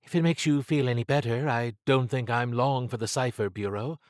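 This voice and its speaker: Man voicing a wry, wary woman agent, pitched up. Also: brisk, no echo, clean studio sound, natural voice. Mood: uneasy, confiding. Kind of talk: audiobook character dialogue.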